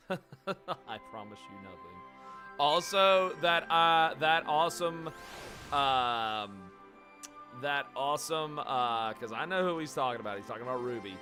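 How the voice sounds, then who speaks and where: A man giving casual live reaction commentary as a streamer. His pitch swings widely and his pace is slow and halting, with playful exclamations. Game music is clearly heard behind him. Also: lively intonation, sharp tone. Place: indoor room, game audio behind.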